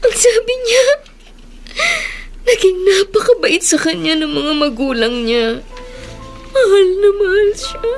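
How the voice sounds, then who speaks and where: A high-pitched, emotional woman of radio drama, generous yet wounded inside. She speaks in a halting, pleading way, with music playing underneath. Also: expressive, full character voice. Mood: anguished, tearful. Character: tearful, wounded woman employer, generous, longing for parents' love. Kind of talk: Filipino radio drama acting.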